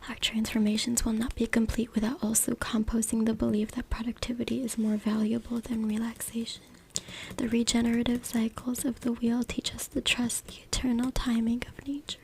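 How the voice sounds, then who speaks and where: A woman whispering breathily and softly in an ASMR style as she reads aloud, close to a USB microphone. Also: on the high side, level tone, fairly quick, creaky. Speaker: ASMR content creator. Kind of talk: ASMR whispered reading from a book. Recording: very noisy close USB mic, clicks. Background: loud noise, tapping and scratching clicks.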